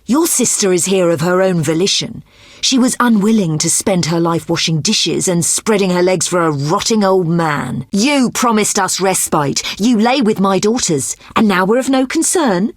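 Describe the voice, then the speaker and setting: An actress playing a proud, seductive vampire madam in a fantasy video game, imperious, with a haughty, formal manner. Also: female voice, mid-range voice, normal speed, no echo, clean studio sound, natural voice. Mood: indignant, haughty.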